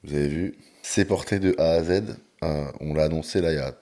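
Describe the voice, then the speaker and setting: A man hosting a casual podcast chat, talking in a relaxed way with frequent hesitations. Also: a bass voice, a little fast. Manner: relaxed, talks with many hesitations. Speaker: podcast host and coach.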